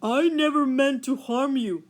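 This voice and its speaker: Casting: woman as giant old storybook whale, male, proud and touchy, slow emphatic delivery. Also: high-pitched. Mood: hurt. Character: huge elderly male whale, proud and easily hurt.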